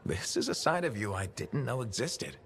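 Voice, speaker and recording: Easygoing, lively game hero, dryly sarcastic. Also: male voice, on the low side, moderate pace, a little hiss or hum. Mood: teasing, casual. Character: young-adult male freelance mercenary hero, easygoing, dryly sarcastic. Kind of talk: video game dialogue acting.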